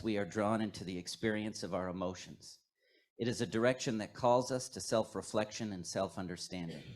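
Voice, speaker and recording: A man leading a scripted, poetic guided meditation at a worship service, with measured, reflective phrasing. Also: low voice. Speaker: worship leader at a fellowship.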